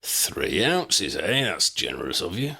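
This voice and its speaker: A man voicing a gruff, shady middle-aged wizard dealer who talks in rough slang. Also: low-pitched, sing-song, measured pace, bright, forceful tone, exaggerated voice. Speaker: voice actor.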